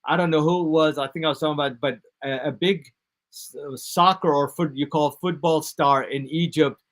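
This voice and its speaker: A man from the United States, a DJ and producer, talking casually in an interview, relaxed and rambling with many fillers and restarts. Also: fairly high pitch, moderate pace. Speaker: guest DJ and producer.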